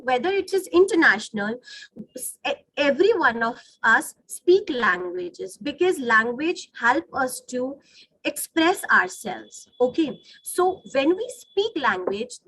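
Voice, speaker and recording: A woman's voice: high voice, a bit bright, slightly rough, dry recording, low-quality recording. A woman with an Indian accent, a native Hindi speaker, teaching an online lesson in a didactic way, rephrasing points and checking in with her listeners. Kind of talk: online lesson, explaining to students. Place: online video call.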